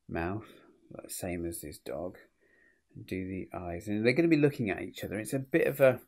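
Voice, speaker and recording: A man's voice: on the low side, a little mellow. A man who sounds sniffly with a cold, chatting informally and unhurriedly to viewers as he talks through a drawing tutorial step by step, as an illustrator and host.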